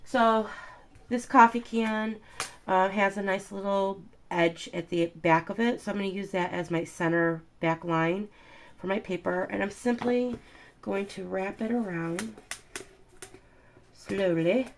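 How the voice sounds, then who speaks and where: A woman's voice: medium pitch, measured pace, a little hiss or hum. A woman with a stuffy, nasal voice from a cold, chatting through a craft tutorial with lots of ums and uhs. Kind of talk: casual step-by-step craft demonstration.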